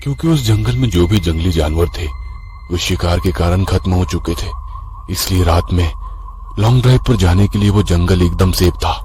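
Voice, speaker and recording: A low-pitched man tells a scripted horror tale in the first person as narrator. Faint ambient noise runs behind his deep voice. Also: moderate pace, darkish tone. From Maharashtra, India.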